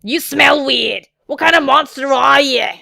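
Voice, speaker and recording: A woman's voice: high-pitched, a little slow, creaky, sharp tone, no room sound, studio quality, pitched above the performer's own voice, exaggerated voice. A woman voicing a blunt, suspicious little monster girl in a visual novel game. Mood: suspicious, accusing.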